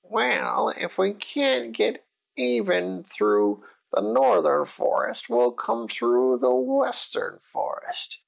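A man's voice: very high-pitched, lively intonation, takes it slowly, gravelly, voice pushed up, heavily acted, rough recording. A man voicing a gleeful, scheming witch with a sly, cackling manner in a children's story.

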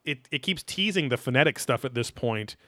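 A man's voice: mid-range voice, lively intonation, brisk, a bit bright, no room sound. Casual, profane man on a dry mic. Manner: rambling, profane, reads quotes aloud theatrically. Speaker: podcast host. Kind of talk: casual episode recap chat.

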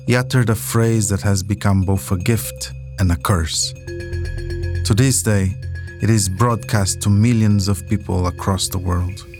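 A man narrating a scripted documentary podcast, telling the story dramatically with deliberate pauses. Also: lowish voice, steady tone, over music.

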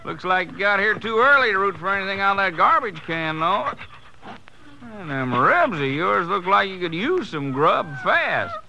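A noisy old radio transcription carries a kindly, rustic cafe owner with a Western drawl. His voice is high and jumps around in pitch, in the folksy style of 1950s radio drama. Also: sing-song, normal speed, bright, forceful tone, exaggerated voice. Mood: kindly, coaxing. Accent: American Western drawl. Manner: folksy, rustic, chuckling speech. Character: folksy, kindly middle-aged male roadside cafe owner.

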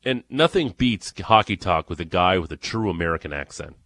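A man with an Ontario accent hosting a morning sports radio show, chatting and teasing with excitable energy. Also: low-pitched, a little fast, dry recording. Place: radio studio. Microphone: studio microphone.

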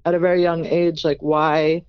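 A chef answering interview questions in an earnest, thoughtful way, often pausing on "you know". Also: medium pitch, flat delivery, clear, resonant tone, highs cut off.

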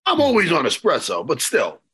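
A man's voice: on the high side, a little fast, husky. A man in his forties co-hosting a radio show, teasing the others in casual banter on a studio microphone. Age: 40s. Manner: teasing, animated ribbing. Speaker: radio co-host.

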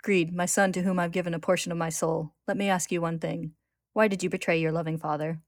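A woman as a calm, commanding old patriarch. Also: lowish voice, quick pace, no room sound, clean studio sound, close to an everyday voice. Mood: calm, cold.

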